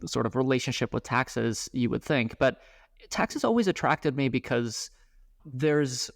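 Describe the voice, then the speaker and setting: A man in his thirties, a startup founder, talking about his work in a casual podcast interview, halting, with frequent restarts and fillers. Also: medium pitch, gravelly, no room sound, studio quality. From grew up abroad, moved to the US at eleven.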